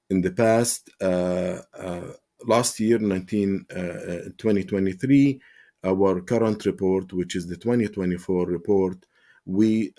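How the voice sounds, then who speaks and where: A man's voice: deep voice, dark tone. A man from Palestine, an advocacy spokesman answering in a TV interview, earnest and impassioned with frequent fillers and restarts.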